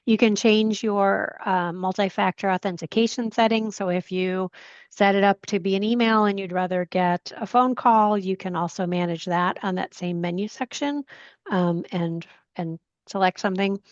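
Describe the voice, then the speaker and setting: A woman who is a little hoarse, explaining tech support steps in a webinar Q&A. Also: mid-range voice, steady tone, moderate pace, dry recording, highs cut off. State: hoarse, losing her voice.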